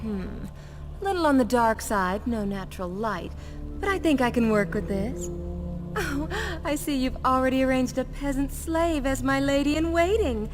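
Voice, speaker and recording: A pampered young lady of high birth in a fantasy game. She is coquettish and snooty, her voice on the high side, over prominent music. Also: expressive, normal speed. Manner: coquettish, condescending. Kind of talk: video game character acting. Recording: loud music behind.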